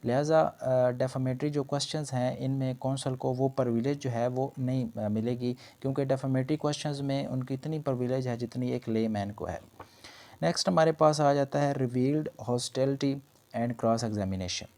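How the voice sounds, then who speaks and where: A man speaks in a dark, mellow voice at an average pitch. He lectures on law in an explanatory way, often restating each point in other words. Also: normal speed. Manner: explanatory, repeats and rephrases points. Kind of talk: lecture explaining legal points in Urdu mixed with English terms.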